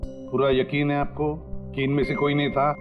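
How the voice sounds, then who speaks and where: An actor playing a senior police detective in a TV crime drama, questioning and reasoning in a deliberate manner. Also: male voice, fairly high pitch, completely flat delivery, phone-quality sound, over music, natural voice. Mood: inquiring.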